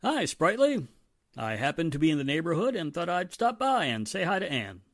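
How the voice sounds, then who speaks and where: Actor as wry, animated radio police inspector. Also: male voice, a little high, moderate pace, no echo, studio quality. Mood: breezy, sardonic. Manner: breezy, sardonic. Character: wry, self-assured male police inspector. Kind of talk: 1940s radio drama acting.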